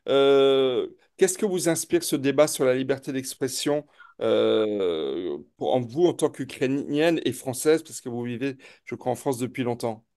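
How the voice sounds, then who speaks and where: A man hosting a live public debate, speaking in a halting way and often repeating words as he moderates. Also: medium pitch, darkish tone, clean tone.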